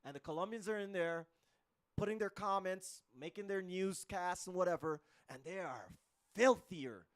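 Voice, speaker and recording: A man hosting a casual live podcast, swearing freely and cutting in with quick asides. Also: sits high. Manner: animated, cursing, cuts in often. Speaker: podcast host.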